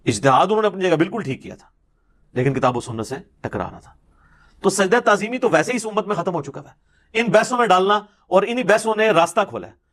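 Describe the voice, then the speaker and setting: A man's voice: high-pitched, very animated, fairly bright tone. A man with a Pakistani Punjabi accent giving an informal religious lecture in an emphatic, argumentative, rhetorical way.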